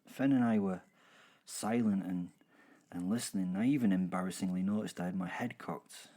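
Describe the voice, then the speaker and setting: A man playing a sentimental werewolf killer, telling his story in a halting, dramatic way with repeated words and pauses, for a horror audio drama. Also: low-pitched, mellow. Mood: hushed awe. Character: male werewolf killer, sentimental, menacing, wryly wistful. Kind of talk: dramatic first-person storytelling.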